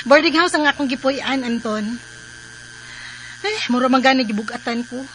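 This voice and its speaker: High-voiced, teasing young Filipina. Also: female voice, normal speed, fairly bright tone, a little hiss or hum, rough recording. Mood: coy, teasing. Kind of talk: Filipino radio drama acting.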